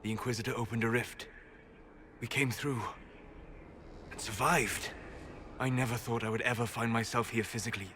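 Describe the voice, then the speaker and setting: An actor playing a calm, scholarly elf mage in a fantasy game, measured and reflective. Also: male voice, medium pitch, lightly acted. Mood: awed. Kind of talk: dramatic fantasy game acting. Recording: faint ambient noise.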